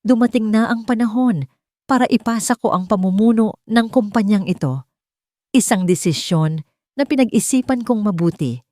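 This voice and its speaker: A woman voicing a dignified elderly matriarch and chairwoman in an audio story, giving a calm, clear, formal speech. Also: mid-range voice, moderate pace, no echo, studio quality, pitched above the performer's own voice, natural voice. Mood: calm, solemn.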